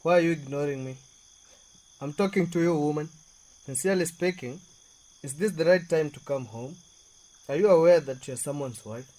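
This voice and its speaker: A man's voice: on the high side, normal speed, long pauses, darkish tone, close to an everyday voice, rough recording. Angry, accusing husband in a radio drama, acted by a man. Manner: accusing, demanding. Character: angry, jealous, confrontational husband. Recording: faint background noise.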